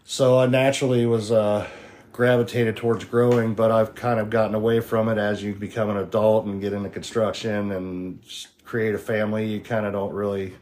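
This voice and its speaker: Hoarse man telling his story. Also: fairly low pitch, little pitch movement, a little fast, a little mellow, slight background noise. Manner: rambling, eager storyteller. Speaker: podcast guest, urban microgreens farmer. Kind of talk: casual interview talk.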